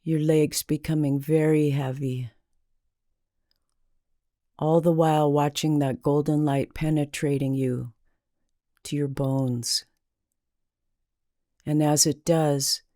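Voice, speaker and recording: A woman leading a guided meditation in a calming, deliberate manner with long pauses between phrases. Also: deep voice, no room sound, clean studio sound.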